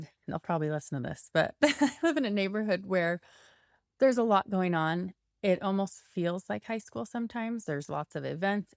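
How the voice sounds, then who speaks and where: Supportive lower-voiced woman podcast host. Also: no room sound, studio quality. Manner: supportive, affirming listener with frequent "mm-hmm". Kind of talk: casual interview and a scripted promo read.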